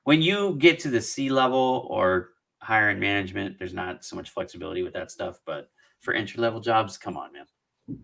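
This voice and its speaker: A man hosting a relaxed live-stream Q&A about job hunting. His voice is bright and his pitch swings widely as he jokes and restarts his sentences, talking in a loose, chatty way. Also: gravelly. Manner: animated, joking, talks fast with restarts. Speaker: career advice show host.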